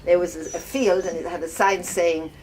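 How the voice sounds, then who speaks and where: An older woman from Wales telling her life story casually, reminiscing in a halting, conversational way. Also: lowish voice, fairly even intonation, darkish tone. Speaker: host of guest lodging, farmer's wife. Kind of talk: casual personal storytelling to guests. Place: informal talk to visitors, filmed by a guest. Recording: noisy ambient home video.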